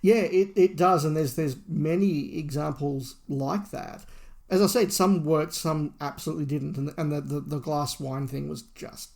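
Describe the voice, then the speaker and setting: A man's voice: on the high side, fairly even intonation, moderate pace, vocal fry, darkish tone. A middle-aged man talking at length and with emphasis as a podcast co-host, in a casual review discussion.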